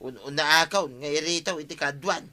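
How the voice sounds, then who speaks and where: A man's voice: a little high, fairly quick, close to an everyday voice. A man playing a grumbling villager fed up with squabbles in an Ilocano radio comedy drama.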